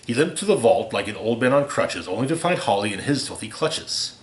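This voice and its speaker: A man with a medium-pitched voice reads a rhyming holiday story aloud. His delivery is theatrical and deliberate, shaped around the verse. The recording is roomy and echoey, like a home reading. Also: sing-song. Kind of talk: expressive read-aloud of a rhyming holiday parody.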